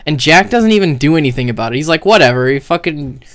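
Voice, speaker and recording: A man doing casual, unscripted game commentary as a streamer, profane and opinionated, on a microphone turned up too high. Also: animated, fast talker, fairly bright tone. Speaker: streamer critiquing a video game. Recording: overdriven, too-hot microphone.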